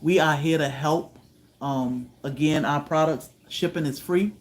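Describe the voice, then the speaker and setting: A man from Virginia, hosting a homestead livestream, chatting with viewers in a relaxed way, often letting his voice trail off softly. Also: on the high side, measured pace. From Virginia, USA. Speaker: homestead channel host. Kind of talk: livestream chat answering viewers.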